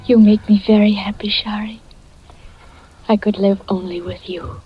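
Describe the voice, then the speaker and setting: A woman playing a gentle young blind peasant girl in a 1940s radio drama, soft and trusting. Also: medium pitch, steady tone, unhurried, husky. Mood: hushed. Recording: dull old radio recording.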